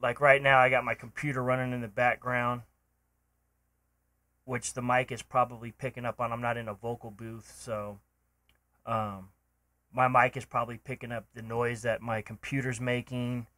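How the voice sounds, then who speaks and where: Bright-voiced man explaining audio software. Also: mid-range voice, moderate pace. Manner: relaxed, rambling, many ums and restarts. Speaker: home recording tutor. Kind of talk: casual screen-recorded tutorial. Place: home room with computer running and an open door. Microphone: cheap microphone.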